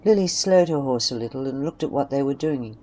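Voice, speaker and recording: A woman's voice: extremely low pitch, lively intonation, a little fast, mellow, rough recording. A woman narrating a scripted western story. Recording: faint background noise and ambience.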